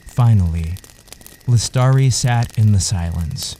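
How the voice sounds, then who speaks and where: A man narrating a literary audio story in a measured, solemn way. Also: fairly low pitch, expressive, mellow, slight background noise.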